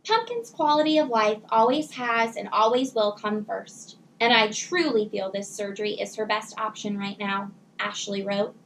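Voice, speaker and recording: A woman teacher reading a children's storybook aloud in a measured, expressive way. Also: mid-range voice, slight background noise, rough recording.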